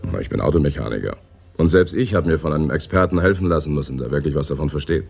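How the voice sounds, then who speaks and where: An actor playing a laconic, proud biker and car mechanic in a German radio crime drama, plain-spoken and unhurried. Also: male voice, a bass voice, lively intonation, creaky, a little mellow, breathy edge, full character voice.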